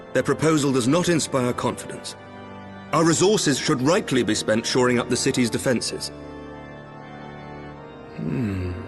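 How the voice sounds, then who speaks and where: A man performs a noble lord commander in a fantasy game. His mid-pitched voice is dignified and deliberate, gracious yet used to giving orders, with archaic courtly wording over soft music. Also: lightly acted. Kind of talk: scripted fantasy game dialogue, archaic formal English.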